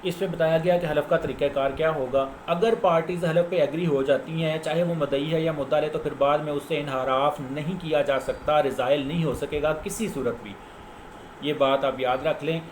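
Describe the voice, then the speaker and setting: A man with a Pakistani accent giving an informal explanatory lecture as a lawyer, didactic and repeating key points for emphasis. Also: on the high side. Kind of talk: informal explanatory lecture citing case law. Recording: echoey room with faint background noise.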